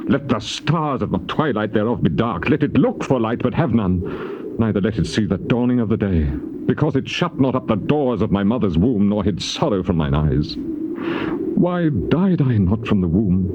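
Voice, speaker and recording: Actor as anguished, swooping British patriarch Job. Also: male voice, mid-range voice, natural voice. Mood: bitter, cursing. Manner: declamatory, mournful, impassioned. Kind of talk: dramatized scripture reading, British stage acting. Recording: dull hissy cassette tape.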